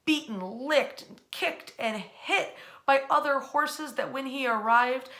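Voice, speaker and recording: An American woman narrating history as a dramatic story, with emphatic, theatrical phrasing and deliberate pauses. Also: high-pitched, fairly bright tone.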